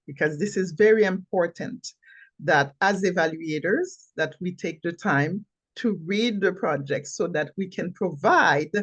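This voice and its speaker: Slow-talking woman hosting, dull lo-fi audio. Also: fairly low pitch. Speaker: meeting host introducing evaluators. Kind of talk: formal meeting hosting.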